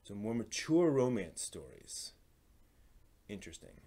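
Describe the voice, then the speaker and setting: A man hosting a live stream, chatting casually and giving recommendations in a halting way, often thinking aloud. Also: fairly low pitch, big pitch swings, warm tone. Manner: halting, thinks aloud, hums filler syllables while searching.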